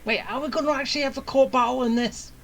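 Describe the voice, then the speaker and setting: A woman's voice: high-pitched, steady tone, fast talker, gravelly, fairly bright tone, a little hiss or hum. A woman streaming a video game with casual, offhand commentary in short, amused remarks. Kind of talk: casual let's play commentary.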